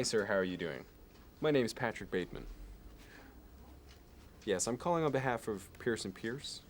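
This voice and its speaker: Casting: man performing slick Wall Street dealmaker for ASMR roleplay, lowish voice, fussy polish, salesman's condescension, noticeable noise behind. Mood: slick, courteous. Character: smooth, obsessive, status-minded male Wall Street banker.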